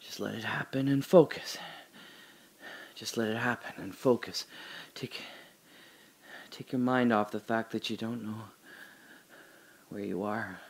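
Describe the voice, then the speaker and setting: Actor as husky, frightened man talking to himself. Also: fairly low pitch, steady tone, a little slow, long pauses, full character voice. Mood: hushed, anxious self-calming. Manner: talks to himself in fragments. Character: terrified, confused man trapped alone in darkness. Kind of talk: audio drama monologue.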